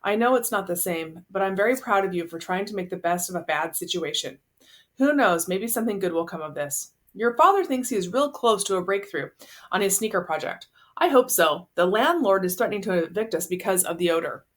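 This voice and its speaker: A woman voicing a loving, proud mother's letter in an affectionate, gently encouraging way, as part of a story reading. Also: mid-range voice, lively intonation, a little fast, lightly acted.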